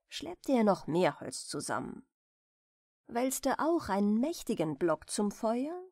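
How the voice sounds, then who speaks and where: Slow, expressive woman reading a bedtime story. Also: a little high, no echo, studio quality. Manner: gentle, measured, expressive storytelling. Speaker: audiobook reader of bedtime stories. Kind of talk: soft bedtime story narration.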